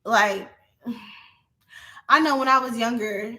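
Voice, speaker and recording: A young adult woman vlogging in a casual, profane monologue to her viewers, animated and often laughing. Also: a little high, slow.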